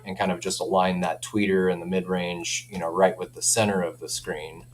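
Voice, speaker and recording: A man's voice: low voice, little pitch movement, normal speed, slight background noise, rough recording. A man speaking as an audio company representative in a casual, informal product chat.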